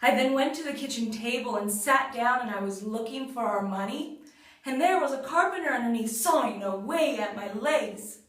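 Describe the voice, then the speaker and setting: A woman voicing a frightened male robber in a folktale, telling his ordeal in a hurried, agitated way. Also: fairly high pitch, expressive, fairly bright tone. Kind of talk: storybook character monologue. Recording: roomy echo, far from mic.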